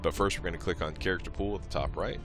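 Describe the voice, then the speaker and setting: A man's voice: low-pitched, fairly even intonation, very fast, music in the background. A man presenting a modding tutorial as a casual screen-recorded walkthrough, explaining each step in an informal, offhand way.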